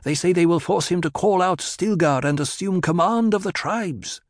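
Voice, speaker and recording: Man voicing an urgent tribeswoman, pitched high. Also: moderate pace, dry recording, clean studio sound. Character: urgent tribeswoman bringing word to her priestess.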